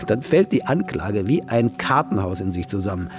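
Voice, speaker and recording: An actor playing a sharp, hot-tempered defence lawyer with an emphatic manner in a German radio drama. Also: male voice, on the low side, sing-song, moderate pace, over music. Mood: matter-of-fact. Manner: emphatic, volatile.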